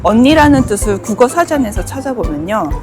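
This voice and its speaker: Chatty middle-aged Busan woman, lively voice. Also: a little high, brisk. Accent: Busan Gyeongsang dialect. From Busan, South Korea. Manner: chatty, exclaims often, slips into dialect when excited. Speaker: travel vlogger. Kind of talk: casual vlog chat. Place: outdoors by a river and in a convenience store. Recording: background music throughout.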